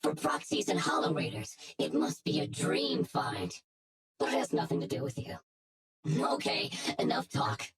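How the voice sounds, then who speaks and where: Game villain: smug blackmailing female hacker, low and creaky, commanding and taunting. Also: animated, moderate pace, full character voice. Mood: smug, condescending. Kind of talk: English video game voice acting.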